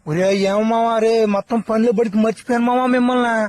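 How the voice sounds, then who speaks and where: Very high-pitched ranting cartoon man playing a loudmouth. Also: fairly even intonation, quick pace. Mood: complaining. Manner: ranting, slangy, exclamatory. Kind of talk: Telugu comedy cartoon spoof dubbing.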